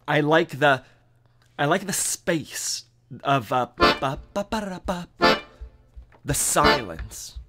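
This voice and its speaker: A man's voice: fairly high pitch, expressive, takes it slowly, pauses often. A man in his thirties teaching accordion on a livestream from a home music room, thinking aloud and scatting melodies. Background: accordion playing.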